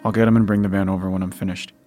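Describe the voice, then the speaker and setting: Man acting deep-voiced, fast-talking survivor. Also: level tone, mellow, faint music behind, lightly acted. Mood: gentle, steady. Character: John, composed, protective male survivor giving orders. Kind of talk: audio drama acting.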